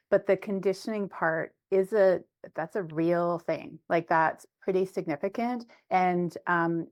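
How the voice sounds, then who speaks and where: Lower-voiced woman, tentative interviewer. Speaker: interviewer, host. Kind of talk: casual interview conversation.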